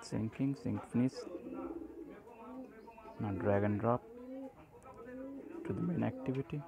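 A man narrating a step-by-step programming tutorial, speaking haltingly in short phrases. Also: on the low side, vocal fry, crowd noise.

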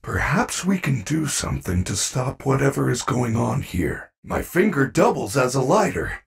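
A man voicing a gentle, earnest animatronic bear in a video game, speaking formally and carefully with few contractions. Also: mid-range voice, sing-song, normal speed, vocal fry, slightly rough, recorded from a distance, full character voice. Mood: helpful, friendly.

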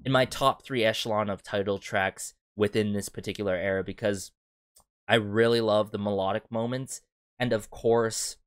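A young man in his twenties reviewing a song in casual chat, animated and full of fillers, talking into a close boom mic in a small bedroom. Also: normal speed, clear, resonant tone, dry recording. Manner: animated, thinks aloud with many fillers like "you know" and "right". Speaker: music reaction channel host. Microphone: boom mic close to the face, headphones on.

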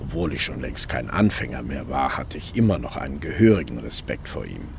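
An actor playing a weary divorced police inspector in his forties, narrating a crime radio drama in a sombre, measured, reflective way. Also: a bass voice, lively intonation, vocal fry, noisy background, heavily acted. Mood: sober. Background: music.

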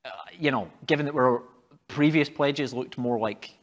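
A man's voice: mid-range voice, moderate pace. A man in his thirties speaking as a climate scientist on a live panel, rambling with many fillers and waving his hands as he talks. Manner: rambling, many fillers, animated hand gestures. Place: stage at an event hall.

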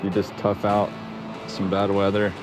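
A man's voice: lowish voice, measured pace, over music, low-quality recording. A young man with an Oklahoma accent, talking casually while fly fishing outdoors by a river pool and cheering his catches. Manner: excitable, bursts into loud cheers over catches. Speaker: fishing vlogger.

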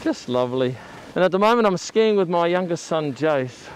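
A man's voice: a little high, expressive, moderate pace, a little hiss or hum, rough recording. An Australian man in his sixties giving chatty travel vlog narration. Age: 60s. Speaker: travel vlogger.

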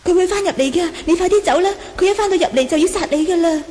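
Very high-voiced timid young nun. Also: female voice, fast, warm tone. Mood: anxious. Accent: Hong Kong Cantonese. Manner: hesitant, anxious, pleading. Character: timid, innocent young Buddhist nun, gentle and anxious. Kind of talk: Cantonese radio drama acting. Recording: faintly noisy 1980 radio drama.

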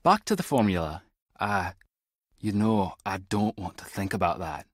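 A clever Scottish intelligence agent in an adventure game. His husky voice sits fairly low and swings freely in pitch. He is wry and tech-savvy, recorded close and dry. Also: animated, moderate pace, dry recording. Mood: wry, explanatory. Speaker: video game voice actor. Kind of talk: scripted adventure game dialogue.

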